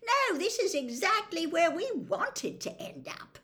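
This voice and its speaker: A woman's voice: sits high, expressive, normal speed, voice pushed up. A woman voicing a cheeky, sarcastic teenage wizard boy in a storybook reading, with a raised voice.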